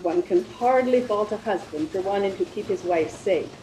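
An older woman playing a prim, sensible Edwardian lady who counsels her friend in a radio mystery, with genteel, measured diction. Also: medium pitch, warm tone, lightly acted. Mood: cautioning. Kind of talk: radio mystery play acting. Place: room at home, video call. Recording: roomy, slightly noisy video call.

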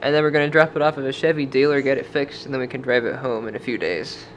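A man's voice: medium pitch, fast talker, highs cut off, a little hiss or hum. A young man from Washington state, talking into a handheld camera in a dim motel room and telling a rambling, casual story full of "like" fillers. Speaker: vlogger. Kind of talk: casual travel vlog with voice-over. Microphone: camera held at arm's length.

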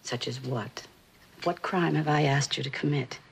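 A woman's voice: very deep voice, moderate pace, vocal fry, breathy edge, exaggerated voice. An actress playing a genteel, middle-aged mother who clings tenderly and hesitantly to her daughter, in a vintage suspense drama. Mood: wounded, persuasive. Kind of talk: 1960s television suspense drama acting.

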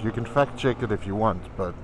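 Low-voiced man vlogging from his motorbike, chatty and profane, with loud road and wind noise. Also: a little fast. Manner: chatty, profane, self-mocking. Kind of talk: casual rambling travel vlog. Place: on a moving motorcycle outdoors. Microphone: helmet-mounted camera.

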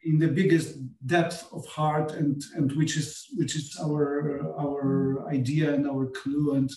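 A middle-aged Polish man speaking English with a Polish accent, talking earnestly on a film panel and often pausing to search for words. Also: on the high side, level tone, warm tone. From Poland. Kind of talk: panel conversation. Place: small meeting room with sofas. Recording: echoey room, voice far from mic.

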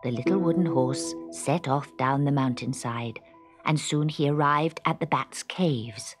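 A British woman narrating a children's fantasy story in a deliberate, dramatic manner. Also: extremely low pitch, over music.

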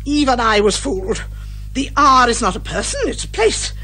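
An actress playing a brisk, commanding older British lady detective in a theatrical radio detective comedy. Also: fairly high pitch, lively intonation, sharp tone, faint music behind. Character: bossy, eager older lady amateur detective, a retired major.

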